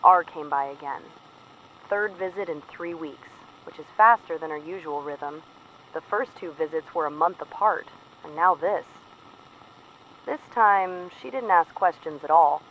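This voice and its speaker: A woman's voice: low voice, a little slow. A woman playing a weary, fearful field operative in an audio drama, confiding a careful, plain-spoken secret report over the radio. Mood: guarded, uneasy. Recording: muffled noisy radio transmission.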